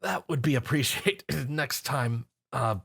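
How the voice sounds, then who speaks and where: A man's voice: medium pitch, lively intonation, takes it slowly, creaky, fairly bright tone, husky, exaggerated voice. A man voicing a polite, wry and hesitant young man in a story reading.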